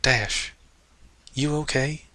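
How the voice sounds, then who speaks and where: Guy doing a folksy farm mare, steady, terse, concerned, storybook voice, dry sound. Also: mid-range voice, very slow, dry recording, voice pushed up. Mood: worried. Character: plainspoken, worried female farm pony, steady and concerned.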